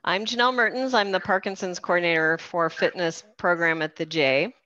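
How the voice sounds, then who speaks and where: A woman's voice: fairly low pitch, fairly even intonation, brisk, bright, forceful tone, no room sound. A woman coordinator hosting a video-call program, walking people through the call settings step by step. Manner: friendly, explains step by step with fillers. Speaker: fitness program coordinator and event host. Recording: dry, slightly dull video-call audio.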